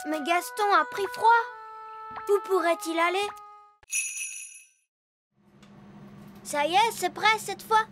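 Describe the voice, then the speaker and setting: A woman's voice: very high voice, normal speed, a bit bright. A woman voicing a playful, cheeky little elf boy in a cartoon. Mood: concerned. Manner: boyish, playful. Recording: music behind the voice.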